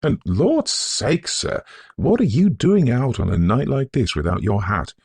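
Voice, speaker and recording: Man acting a breathless, anxious servant, raised pitch. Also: wildly swooping pitch, measured pace, vocal fry, darkish tone, slightly rough, dry recording, heavily acted. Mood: breathless, alarmed. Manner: breathless, exclaiming, stammering. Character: breathless male servant, anxious, deferential, astonished.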